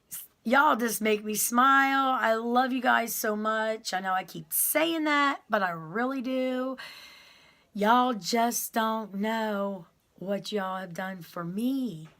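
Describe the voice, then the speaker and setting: Slow, rambling woman. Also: fairly high pitch. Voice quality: loud voice that carries. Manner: rambling, many ums and restarts. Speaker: YouTuber telling her prison story. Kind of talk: casual storytelling to viewers.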